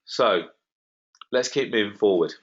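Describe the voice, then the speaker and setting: Guy a bit croaky, pushy sales pitch on a webinar, lowish voice, muffled lo-fi call audio. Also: unhurried. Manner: confident, salesy, emphatic. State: slightly croaky. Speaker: webinar host. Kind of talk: online property webinar talk. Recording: dull lo-fi web call audio.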